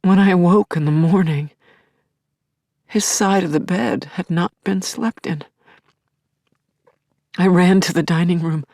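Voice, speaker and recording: A grieving, yearning widow in middle age, confides her story in an intimate audio play monologue. Her voice is husky and breathy, close and dry, often sinking toward a whisper. She speaks slowly and haltingly, with tender feeling. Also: lowish voice, mellow, dry recording. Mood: bereft, recounting. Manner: confiding, halting, emotionally charged. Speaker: lead actor in an audio play. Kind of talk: intimate first-person audio drama monologue.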